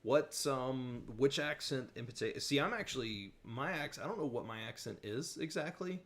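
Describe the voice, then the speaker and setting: A man's voice: medium pitch, lively intonation, normal speed, fairly bright tone. A man from the southern United States hosting a casual livestream Q&A, chatty and rambling, laughing often. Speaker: host.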